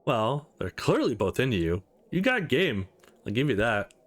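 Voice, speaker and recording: A man's voice: mid-range voice, huge pitch swings, normal speed. A man voicing a brash, teasing young woman bartender from a visual novel, blunt and sassy. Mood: frank.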